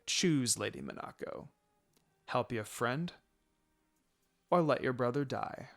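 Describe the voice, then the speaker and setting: Casting: scheming old village elder for a story reading, played by a man, menacing, deliberate, coldly threatening. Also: mid-range voice, lively intonation, creaky. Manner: measured, menacing.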